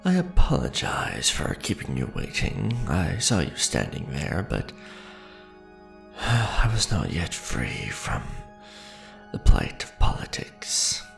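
A man playing a centuries-old aristocratic vampire lord in an ASMR roleplay, with a gravelly voice and formal, grandiose, measured delivery. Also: extremely low pitch, huge pitch swings, music in the background, exaggerated voice. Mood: weary, affectionate. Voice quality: gravelly, creaky. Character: centuries-old male vampire lord, imperious, aristocratic, tender to his beloved.

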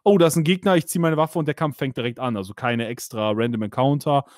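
A man's voice: mid-range voice, fast talker, a little mellow, dry recording, clean studio sound. A man hosting a casual podcast about games, chatty and full of fillers, laughing now and then. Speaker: podcast host. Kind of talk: casual gaming podcast chat.

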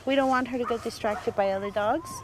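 A woman's voice: on the high side, quick pace. A woman chatting casually outdoors as a companion behind the camera. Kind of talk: casual chat. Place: outdoors in a snowy park. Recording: noisy outdoor camera audio.

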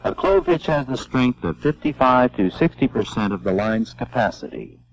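A man giving measured, step-by-step scripted narration for an instructional film. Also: mid-range voice, expressive, slight background noise. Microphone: voice-over. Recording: dull lo-fi old film soundtrack.

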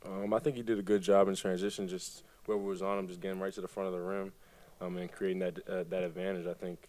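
Male player, quick, halting, postgame answers. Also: sits low, little pitch movement, a little mellow. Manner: halting, with fillers. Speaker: basketball player. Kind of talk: postgame press conference answers.

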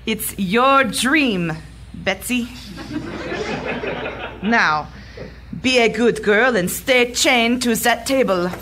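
A woman's voice: fairly high pitch, drawn-out words, long pauses, background noise. A woman playing a sadistic, theatrical Nazi doctor who gloats and taunts in a comic radio drama. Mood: taunting.

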